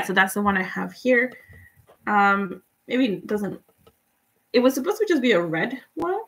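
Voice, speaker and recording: A young woman from Guatemala whose first language is Spanish, chatting relaxed and giving step-by-step tips in a live painting tutorial. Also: medium pitch. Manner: relaxed, chatty, laughs often, answers viewers' comments. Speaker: painting instructor.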